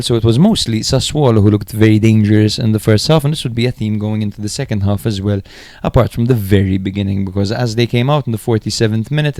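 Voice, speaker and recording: A man's voice: on the low side, a little mellow, dry recording, clean studio sound. A man co-hosting a podcast, recapping and analysing football matches in an informal, chatty way. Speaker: podcast host.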